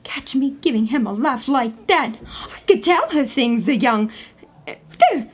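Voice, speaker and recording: A woman's voice: sits high, expressive, unhurried, slightly rough, heavily acted. A woman playing a touchy, jealous newlywed wife in a 1910s New York comedy audio play, talking in a dramatic, slangy way. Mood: scandalized. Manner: dramatic, slangy, easily indignant.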